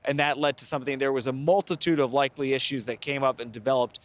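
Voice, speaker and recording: A middle-aged American man reporting live as a TV news correspondent, speaking in a measured, careful, explanatory way. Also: medium pitch, fairly even intonation. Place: outdoors by a river at night.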